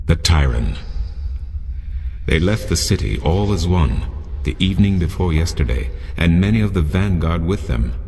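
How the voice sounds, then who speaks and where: An actor playing a wise, solemn old mentor in a video game, speaking in a measured, formal way. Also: male voice, low voice, lively intonation, vocal fry, warm tone, long reverb tail. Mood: grave. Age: older. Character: wise ancient old man, grave mentor.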